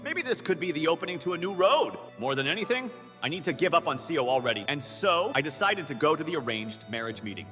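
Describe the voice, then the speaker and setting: A man playing a nervous, earnest, lovesick twenty-four-year-old in an animated comic dub, narrating his own self-doubting thoughts. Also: on the high side, quick pace, big-room sound. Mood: anxious, pondering. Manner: earnest, self-doubting inner monologue with startled outbursts. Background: background music.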